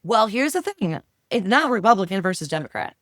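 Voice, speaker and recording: A woman being interviewed about her book, chatty and emphatic, her voice swinging widely in pitch. Her voice sits at a middle pitch and is recorded close, with a dry sound. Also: big pitch swings, fairly bright tone, no echo. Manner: chatty and emphatic, quick agreements. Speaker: author and podcast guest. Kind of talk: casual interview talk.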